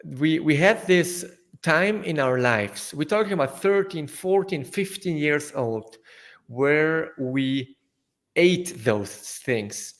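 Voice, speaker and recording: A young man from South Tyrol whose first language is German, speaking English with a German accent as an interview guest, halting with fillers and restarts. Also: fairly high pitch, expressive. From northern Italy, South Tyrol. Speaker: guest entrepreneur. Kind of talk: casual interview answers.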